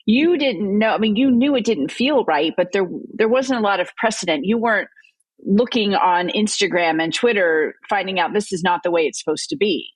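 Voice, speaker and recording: A woman's voice: medium pitch, dry recording, rough recording. A woman hosting an interview show, her voice sitting in a middle range. She joins in eagerly, affirming what her guest says and adding her own thoughts. The recording is dry and close, with no room sound. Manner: engaged, quick to affirm and jump in. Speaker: podcast host. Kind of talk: interview conversation.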